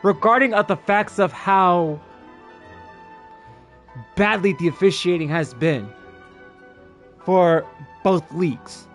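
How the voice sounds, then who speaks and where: A man's voice: high-pitched, slow pace, pauses often. A man streaming a game and giving a casual, blunt, sweary rant with emphatic opinions. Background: game music.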